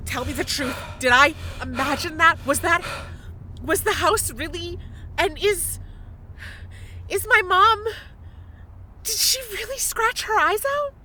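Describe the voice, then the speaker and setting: A woman playing an anxious, suspicious, sharp-tongued young woman in an audio drama, blunt and pressing. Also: very high voice, measured pace, pauses often, heavily acted. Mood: panicked.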